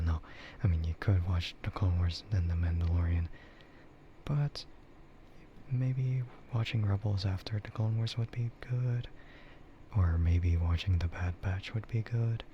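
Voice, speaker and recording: A man with a low, breathy voice rambles in a soft ASMR half-whisper. He talks slowly and informally, often hesitating. The audio is muffled, with clear noise behind him. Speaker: VTuber streamer hosting an ASMR stream.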